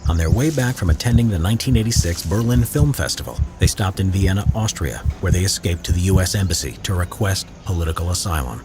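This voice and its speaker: A man narrating a history documentary in a measured, expressive, scripted storytelling style. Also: sits low. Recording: narration with faint music bed.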